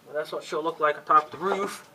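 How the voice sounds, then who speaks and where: Casting: male amateur DIY vlogger, fairly high voice, folksy, offhand working chatter, slightly noisy home-video sound. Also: quick pace, sharp tone. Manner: offhand, folksy, thinking aloud while working. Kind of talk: casual hands-on vlog commentary.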